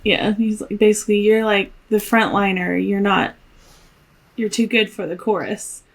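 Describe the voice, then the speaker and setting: A woman's voice: mid-range voice, steady tone, normal speed, rough recording. A thirty-one-year-old woman co-hosting a podcast, chatting casually and chiming in with wry short reactions. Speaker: podcast co-host. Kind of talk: casual chat.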